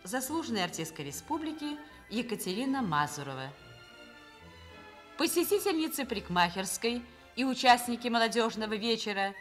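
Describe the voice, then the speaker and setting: Expressive woman radio announcer over violin music. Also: fairly high pitch. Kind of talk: formal scripted radio drama announcement.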